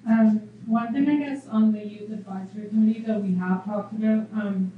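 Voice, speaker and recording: A woman's voice: mid-range voice, fairly even intonation, normal speed, slight background noise. A young woman from a youth advisory committee speaking hesitantly at a café panel, with many "um"s and "like"s. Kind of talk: panel discussion. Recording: muffled, distant, echoey.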